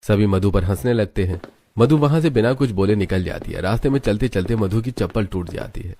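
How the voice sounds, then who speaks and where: A man's voice: lowish voice, fairly quick, dark tone, slight background noise. A man narrating a scripted story as its narrator.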